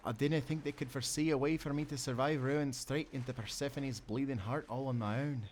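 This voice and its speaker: An actor playing a loyal, good-natured sailor in a nautical audio adventure, speaking earnestly with friendly seafaring phrasing. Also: male voice, medium pitch, steady tone, fairly quick, darkish tone, slight background noise, lightly acted. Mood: wistful, friendly. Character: young-hearted, loyal, good-natured sailor and former timberman. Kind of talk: cinematic nautical audio roleplay acting.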